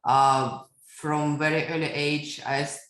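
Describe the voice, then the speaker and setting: Russian woman in her 30s, low voice, reflective storytelling, echoey room. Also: level tone, slow, fairly bright tone. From Siberia, Russia. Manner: reflective, storytelling, frequent fillers. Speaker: guest. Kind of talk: podcast interview answers.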